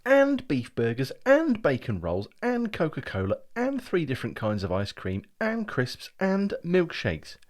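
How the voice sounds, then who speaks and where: Brief: gushing schoolboy, very high and bouncy, a man putting him on. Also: wildly swooping pitch, normal speed. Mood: excited. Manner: gushing, breathless boasting. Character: excitable chattering schoolboy brother.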